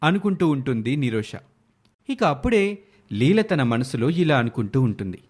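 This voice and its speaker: A man's voice: medium pitch, sing-song, moderate pace, a little mellow, slightly rough. A man reading scripted narration for a moral story as its narrator.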